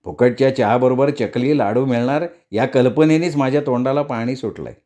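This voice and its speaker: A man acting nosy freeloading neighbour, greedy, wheedling, muttering asides, mid-pitched, comic storytelling. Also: moderate pace, dark tone, natural voice.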